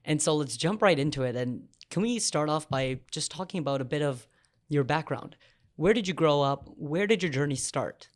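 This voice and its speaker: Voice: medium pitch, expressive, normal speed, dry recording. Earnest host with a dry close sound. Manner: earnest, reads from notes, restarts. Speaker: podcast host. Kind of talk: scripted intro and interview questions.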